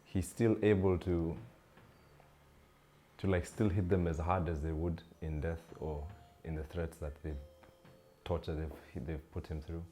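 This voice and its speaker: A man's voice: low-pitched, steady tone, a little slow, pauses often, dark tone, slight background noise. A young man from Kenya, a student actor, giving a thoughtful interview and searching for his words.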